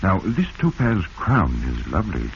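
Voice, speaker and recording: Deep, lilting, deferential shop clerk. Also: male voice, wildly swooping pitch, normal speed, heavily acted. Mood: eager, polite. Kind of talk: 1940s radio drama acting. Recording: noisy, muffled 1940s radio recording.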